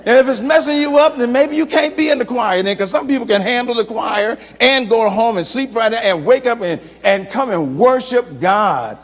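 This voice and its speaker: A middle-aged man preaching a sermon as pastor, animated and shifting between loud and soft delivery, on a handheld mic in a large church. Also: very high-pitched, normal speed, few pauses. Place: large church sanctuary, indoors. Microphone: handheld microphone. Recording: long church reverb.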